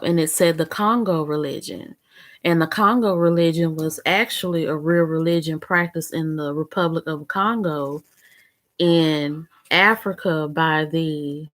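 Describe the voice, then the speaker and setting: Young woman vlogging, kinda low voice, rambly casual storytelling. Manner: chatty, rambling, conversational storytelling with fillers. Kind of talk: casual chat.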